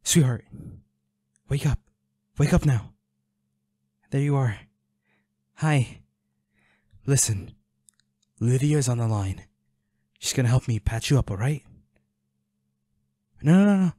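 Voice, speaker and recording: A man's voice: medium pitch, expressive, slow, long pauses, dark tone, no room sound, clean studio sound. A man playing a protective, worried boyfriend in an intimate audio roleplay, speaking in a pleading, soothing, urgent way. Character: protective, worried boyfriend tending his injured werewolf partner.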